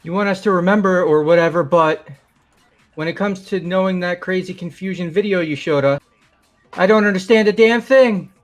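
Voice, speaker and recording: Indignant young game student, played by a man: high register, loud, exasperated, bewildered. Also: normal speed, faint music behind, close to an everyday voice. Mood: confused outrage.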